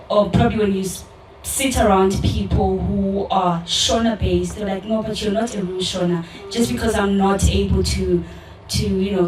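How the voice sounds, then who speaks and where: A young woman from South Africa speaking candidly and earnestly at a community discussion, pausing with fillers. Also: medium pitch, background noise. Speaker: audience member in a community dialogue. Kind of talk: personal public discussion. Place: event hall with audience. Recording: roomy, distant mic in a hall.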